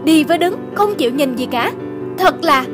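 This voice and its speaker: An angry, rude man behind the wheel acted by a woman scolds loudly in a high cartoon voice. Faint music plays underneath. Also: animated, moderate pace. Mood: angry, scornful. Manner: scolding, loud. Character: rude, angry male driver. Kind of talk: cartoon dubbing.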